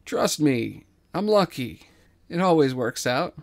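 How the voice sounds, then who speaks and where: A man's voice: a little high, big pitch swings, slow, creaky, full character voice. A man playing a breezy, confident young space scavenger captain with casual delivery in an audio drama.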